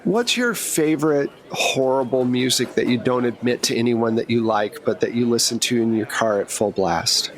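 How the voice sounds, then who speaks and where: Guy doing a laid-back agent handler in a tabletop game, blunt and wry, middling pitch. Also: normal speed, few pauses, natural voice. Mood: teasing. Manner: casual, wry, reassuring briefing. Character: breezy, blunt government agent handler, adult man.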